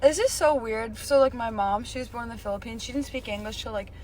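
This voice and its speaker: A woman's voice: a little high, quick pace, a little mellow, slight background noise, low-quality recording. A woman in her late teens co-hosting a student podcast in a casual, slangy way. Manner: slangy, informal. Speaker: podcast co-host, student editor.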